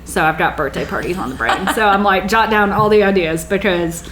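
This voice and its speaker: A woman's voice: mid-range voice, a little fast, noisy background. A middle-aged woman chatting casually and informally as an interviewer, with a self-deprecating manner. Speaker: podcast host interviewer.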